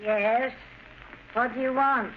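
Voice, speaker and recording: An actress playing an anguished, despairing middle-aged mother in an old radio drama, halting and repeating herself melodramatically. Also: fairly high pitch, steady tone. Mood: bitter despair. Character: anguished, despairing mother of two criminal sons. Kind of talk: 1940s radio drama acting. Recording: muffled, hissy old radio recording.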